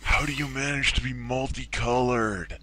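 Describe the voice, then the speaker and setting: A man voicing a goofy, spaced-out stalker slacker with a raspy voice in an amateur anime parody dub. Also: mid-range voice, fairly even intonation, normal speed, heavy background noise, close to an everyday voice. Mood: dazed, goofy.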